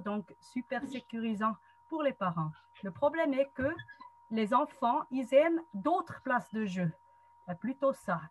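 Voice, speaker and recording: A woman in her forties with a Swiss German accent, a non-native speaker, giving a friendly online lecture from a wood-panelled room over a webcam, pausing often to find her words. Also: on the high side. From German-speaking Switzerland. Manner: friendly, explanatory, frequent hesitations. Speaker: guest speaker, psychologist and trainer. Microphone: webcam video call.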